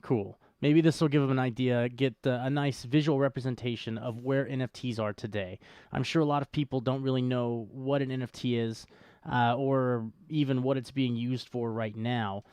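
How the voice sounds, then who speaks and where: A man with an average-pitched voice talks as the host of a live stream. He explains things conversationally and without a script. The sound is dry, with very little room on it. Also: fairly even intonation, moderate pace, a little mellow, clean tone, no echo. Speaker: podcast host.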